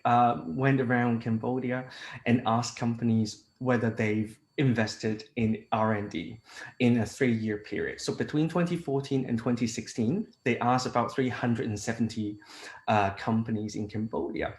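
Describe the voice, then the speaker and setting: A man's voice: medium pitch, steady tone, moderate pace. Hesitant male conference speaker, echoey room. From Hong Kong. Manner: hesitant, frequent fillers and restarts. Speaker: international technical advisor. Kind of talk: conference presentation.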